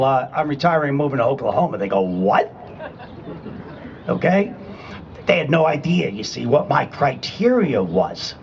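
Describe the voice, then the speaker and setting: A man's voice: fairly high pitch, muffled recording, slight background noise. Older New England man at a rally. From Rhode Island and Connecticut, US. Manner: conversational and emphatic, checks in with "okay?". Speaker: rally speaker, retired Army. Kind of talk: public rally speech. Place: public rally at a state capitol.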